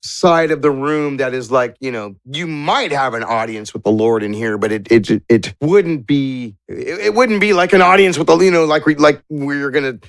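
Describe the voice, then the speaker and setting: A man narrating a tabletop game as game master, searching for words in drawn-out descriptive phrases. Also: a little high, dry recording, studio quality.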